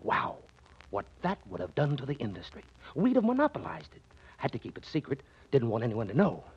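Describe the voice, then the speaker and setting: Animated, self-important American businessman. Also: medium pitch, fairly quick, husky, exaggerated voice. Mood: emphatic. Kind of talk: 1940s radio acting. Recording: dull 1940s radio recording.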